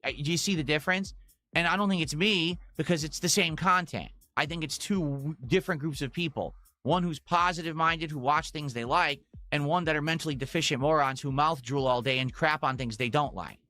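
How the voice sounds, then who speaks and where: A man's voice: on the high side, a little fast, bright, forceful tone. A man who streams video games, complaining to his viewers in an aggrieved livestream rant. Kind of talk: ranting livestream talk to viewers.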